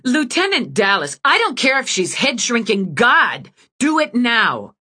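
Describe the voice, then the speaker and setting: A woman voices a tough police lieutenant in an audiobook, barking clipped orders with a slightly raised, forceful pitch. The husky voice swings lively between words. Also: moderate pace. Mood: commanding. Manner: clipped, commanding. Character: hard-driving woman police lieutenant, curt.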